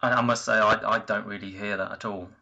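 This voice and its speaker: A low-pitched man with a gravelly, fry-laden voice and a bright, tense edge. He talks in an almost flat line, handing out blunt criticism as a panelist in a measured, deliberate way. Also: lo-fi sound. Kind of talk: opinionated music commentary.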